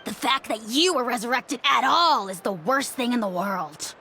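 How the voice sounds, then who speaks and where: Pompous, high-voiced little dragon lord. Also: female voice, lively intonation, normal speed, sharp tone. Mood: haughty. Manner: pompous, theatrical, threatening. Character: small haughty self-styled dragon lord, boastful and menacing. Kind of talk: video game voice acting. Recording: music behind the voice.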